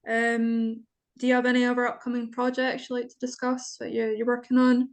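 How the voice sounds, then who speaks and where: A woman's voice: a little high, completely flat delivery, fairly quick. A Scottish woman interviewing guests, asking questions hesitantly with many ums.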